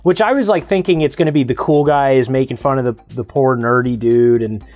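A man's voice: medium pitch, brisk, darkish tone, no echo. A man in his thirties chatting casually as a panelist on a movie review show. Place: small indoor set lined with tapes.

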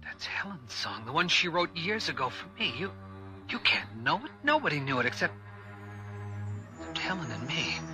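A man's voice: fairly high pitch, big pitch swings, moderate pace. An actor playing a stern father in a radio drama, emphatic and emotional, shaken and urgent. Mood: shaken, grieving. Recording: radio drama with music underneath.

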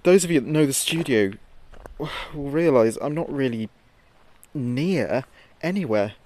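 An actor playing a frightened late-night radio DJ giving a halting, haunted field report in a horror audio drama. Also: male voice, mid-range voice, animated, darkish tone, lightly acted. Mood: anxious. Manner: halting, hesitant, haunted delivery. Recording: noisy outdoor field recording with ambience.

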